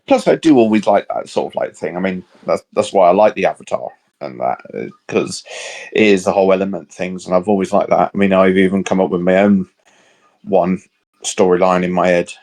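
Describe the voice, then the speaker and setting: A man's voice: low-pitched, brisk, a little mellow. A British man chatting casually with a co-host, rambling and hesitant, laughing often. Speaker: podcast co-host. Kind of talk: casual chat.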